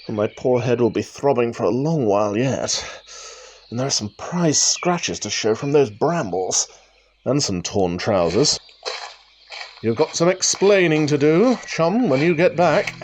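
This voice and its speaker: An actor playing a chatty, wry country rambler who thinks aloud, musing and exclaiming in old-fashioned idiom, in a radio drama monologue. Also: male voice, medium pitch, a little hiss or hum. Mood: wry, rueful. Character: chatty male country rambler talking to himself, wry and excitable.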